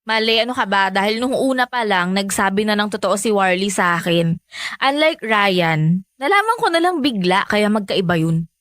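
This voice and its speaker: A woman's voice: medium pitch, animated, normal speed, fairly bright tone, dry recording, studio quality, close to an everyday voice. A Filipino woman acting in a radio romance drama as a lovestruck young woman, trusting yet hesitant and defensive about her affair.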